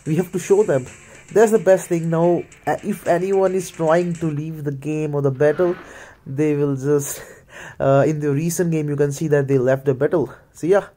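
A man's voice: a little high, fairly even intonation, normal speed, mellow. A man with an Indian accent, a native Hindi and Punjabi speaker, giving casual play-by-play commentary as he games. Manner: mutters play-by-play, slips into Hindi. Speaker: gaming video creator.

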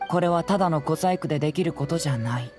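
A man voicing a youthful, confident detective in an anime game, speaking in a measured, analytical, explanatory way. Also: a little high, lightly acted. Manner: measured, explanatory, self-assured. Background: faint background music.

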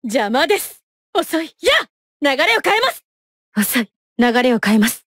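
A woman's voice: sits high, sing-song, moderate pace, a bit bright, dry recording, clean studio sound, exaggerated voice. An actress playing a poised, haughty young female knight in a fighting game, speaking with formal, imperious politeness and clipped battle cries. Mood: fierce.

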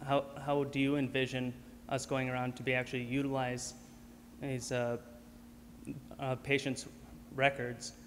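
Guy in the audience reading his question, monotone and kinda hesitant, hall echo. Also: medium pitch. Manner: hesitant, restarting phrases. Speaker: audience member asking a question. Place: large auditorium. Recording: reverberant hall, faint noise.

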